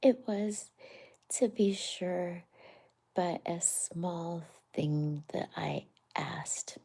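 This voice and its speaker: A woman voicing a boastful, vain male toad in a soft whisper. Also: fairly low pitch, extremely slow, creaky, full character voice. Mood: remorseful.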